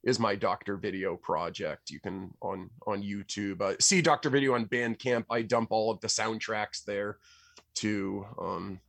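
A man chatting casually as a podcast guest about his work as a musician, in a rambling, halting way that stumbles over words. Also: fairly low pitch, vocal fry, fairly bright tone, no room sound. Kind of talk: casual chat about own projects.

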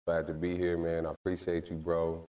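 Guest: American man, very deep low voice, relaxed slangy talk, a bit off-mic. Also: moderate pace, far from the mic. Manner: laid-back, slangy, lots of "you know what I mean". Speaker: guest, recording artist. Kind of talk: casual interview chat.

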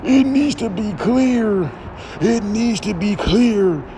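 A man putting on a whiny, nitpicking male critic in a drawn-out, mocking parody voice. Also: high-pitched, expressive, noisy background, voice pushed up.

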